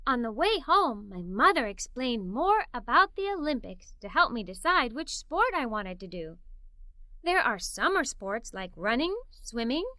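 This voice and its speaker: A woman tells a story for young children in a high, very animated voice. Her tone is bright and the sound is dry, with expressive delivery suited to a read-aloud. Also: unhurried, dry recording. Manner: expressive, performs the characters' dialogue.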